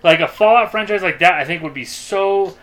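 A man with a high-pitched voice talks casually on a geek podcast. He is opinionated and loose with his language. Clear crowd chatter sits behind him. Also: fairly quick. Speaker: podcast co-host.

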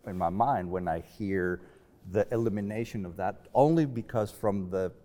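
A man from Latin America with a fairly low voice speaks conversationally as a panel guest. He feels his way through sentences, stopping and restarting. The sound carries a long, hall-like reverb. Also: dark tone. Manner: halting, thinking aloud with repeated false starts.

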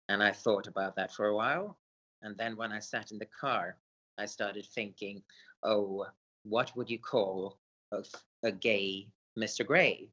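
A man's voice: fairly low pitch, pushed and bright, highs cut off. A man born in Sweden, an author answering interview questions hesitantly with many fillers. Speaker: author guest.

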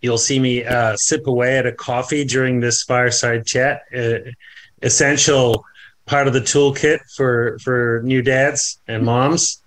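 A man's voice: mid-range voice, fairly even intonation, unhurried, fairly bright tone, poor audio. A man from New Zealand, a company founder and podcast guest, talking in a friendly way with fillers and repeats. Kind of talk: podcast interview.

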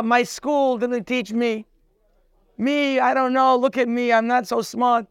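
A man's voice: very high voice, clean tone, no echo, studio quality. A man teaching a daily Torah class, preaching emphatically and speaking straight to the listener. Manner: emphatic, preachy, quotes Hebrew sources then translates; addresses listener directly, repeating "you".

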